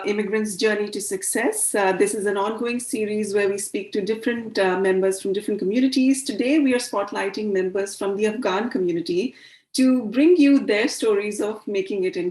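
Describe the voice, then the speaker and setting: A woman's voice: medium pitch, a little mellow, echoey room. A woman hosting a virtual panel over a video call, hesitant with many fillers. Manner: hesitant with frequent uh fillers, welcoming. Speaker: host. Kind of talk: scripted-feeling virtual panel intro. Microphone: video call mic.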